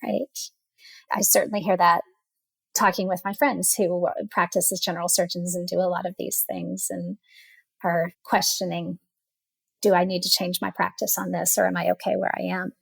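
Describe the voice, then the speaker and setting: A woman's voice: lowish voice, little pitch movement, normal speed, gravelly, husky, dry recording. Woman hosting a medical interview podcast, dry sound. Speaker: podcast host interviewing researchers.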